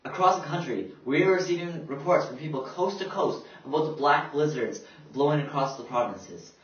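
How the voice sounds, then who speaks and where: A man's voice: on the high side, normal speed, close to an everyday voice. A man playing a serious 1930s radio news co-anchor, reading scripted reports. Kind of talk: scripted news broadcast acting. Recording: echoey, dull, distant amateur recording.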